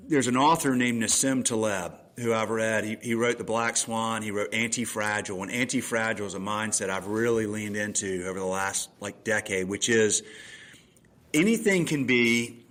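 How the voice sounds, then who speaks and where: A man of fifty hosts a podcast conversation from his desk, speaking into a microphone beside his laptop. He talks in a relaxed, enthusiastic way and works through ideas with plain everyday examples. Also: on the low side. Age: 50s. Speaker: podcast host. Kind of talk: casual conversational interview. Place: room at a table with laptop.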